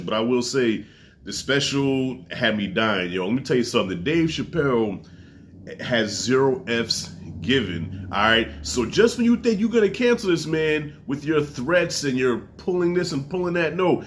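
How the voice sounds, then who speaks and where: A congested man in his forties talks straight to camera, his voice thick and stuffy. He speaks loosely and profanely, with sudden high swings in pitch. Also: expressive, moderate pace, slight background noise. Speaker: comedy special reviewer. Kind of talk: casual spoken review to camera.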